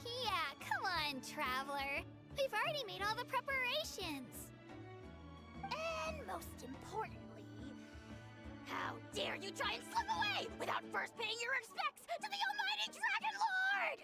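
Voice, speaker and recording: A woman voicing a small, boastful dragon creature in a video game, squeaky, childlike and self-important. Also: sing-song, measured pace, full character voice. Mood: mock indignant. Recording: loud music under the voice.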